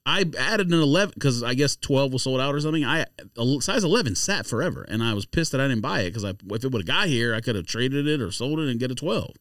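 A man's voice: mid-range voice, lively intonation, fast, few pauses, a bit bright, dry recording, studio quality. A man co-hosting a casual podcast, bantering argumentatively and often cutting in. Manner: argumentative, interjecting. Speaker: podcast co-host.

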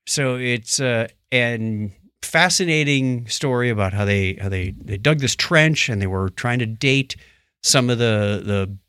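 A man with a middle-pitched voice chats as a podcast co-host. He recounts things like a storyteller and slips into imagined dialogue. The sound is dry, with no room around it. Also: measured pace, a bit bright, clean tone, no room sound. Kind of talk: casual chat, recounting documentaries.

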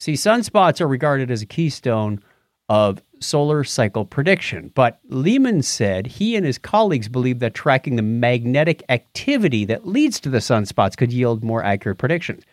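Guy co-hosting a science podcast, super animated pitch, jokey, dry close sound. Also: moderate pace, dry recording. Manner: jokey, wisecracking. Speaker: co-host of a science news podcast. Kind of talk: casual banter and news commentary.

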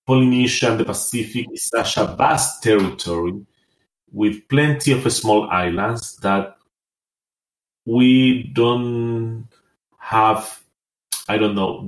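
Low-voiced man in an echoey room. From Rapa Nui. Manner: hesitant, thoughtful, many fillers and restarts. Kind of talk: conversational interview.

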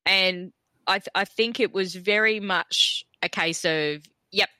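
An Australian woman with a croaky voice chats about football in quick bursts and laughs easily. Her tone is bright and edgy, and the sound is dry and close. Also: mid-range voice, no echo. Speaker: podcast co-host. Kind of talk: casual sports chat.

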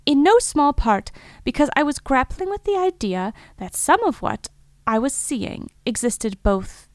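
A woman performing a thoughtful, wondering narrator of strange field reports. Her voice sits fairly high and is recorded very dry, with no room around it. She speaks in measured, lyrical phrases, as in an intimate audio drama. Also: no echo. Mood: searching, reflective. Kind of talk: scripted reflective first-person audio fiction monologue.

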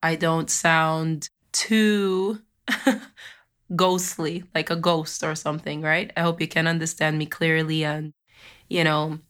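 A Jamaican-born woman hosts a spiritual podcast, her lowish voice smooth and clear despite the cold she is fighting. She talks in a loose, rambling way with plenty of fillers, after chanting slow affirmations. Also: flat delivery, a bit bright. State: has a cold, losing her voice. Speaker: podcast host.

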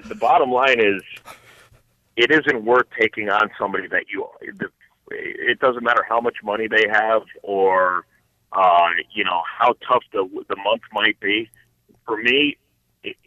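Sounds like a phone line, guy with a lowish voice being interviewed, explains stuff casually, keeps restarting sentences. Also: bright, forceful tone, no room sound. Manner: halting, restarts phrases repeatedly, earnest explaining. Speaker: podcast guest, financial advisor. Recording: thin phone-like line, dry.

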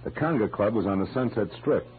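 A male actor with an American accent playing a wry, deadpan private detective in a 1940s radio noir drama. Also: on the low side, fairly quick, slight background noise, natural voice. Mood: wry, matter-of-fact. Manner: deadpan, wisecracking. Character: wry, hard-boiled male private detective narrating his case. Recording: muffled old radio recording, music behind.

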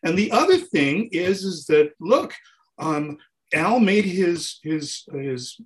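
Guy in his 60s rambling away in an interview, lively, bit higher voice, keeps restarting. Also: slow. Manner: animated, talks in long runs with restarts. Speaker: touring keyboard player, guest. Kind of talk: casual interview chat.

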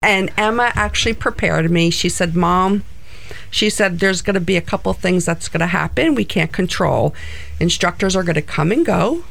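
A woman's voice: on the low side, animated, normal speed, gravelly, fairly bright tone. A middle-aged woman from New Jersey with a New Jersey accent, answering interview questions in an earnest way. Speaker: guest, co-owner of flight center.

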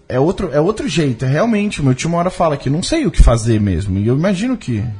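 A Brazilian man being interviewed as a film director, speaking casually and reflectively, often halting to search for his words. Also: a little high, sing-song, darkish tone, breathy edge, faint music behind.

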